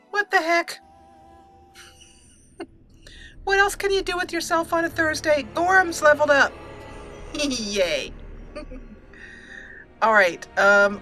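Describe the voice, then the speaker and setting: A woman's voice: medium pitch, sharp tone. A woman giving casual let's play commentary, chatty and giggly, explaining things step by step as she plays. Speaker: gaming channel host.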